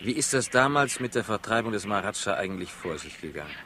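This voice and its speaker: An actor playing an erudite, ironic investigator in a 1960s radio crime play, with a dry, deliberate delivery. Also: male voice, lowish voice, expressive, bright, forceful tone. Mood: exasperated, ironic. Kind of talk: 1960s German-language radio crime drama acting. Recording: faintly noisy old radio recording.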